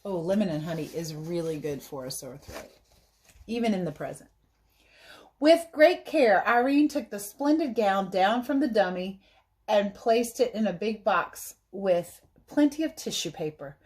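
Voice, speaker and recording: A woman reading a story aloud as a teacher, pausing to explain things simply to young listeners. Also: mid-range voice, expressive, darkish tone. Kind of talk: read-aloud storytelling with explanatory asides for children.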